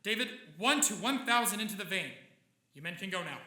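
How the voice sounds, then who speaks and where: An actor playing a cold, driven scientist in a live old-time radio drama, commanding and urgent in a theatrical way. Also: male voice, sits high, lively intonation, a little fast, sharp tone, exaggerated voice. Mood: brisk commanding. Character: driven, cold scientist father obsessed with brain experiments. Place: theatre stage. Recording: echoey live stage recording with music.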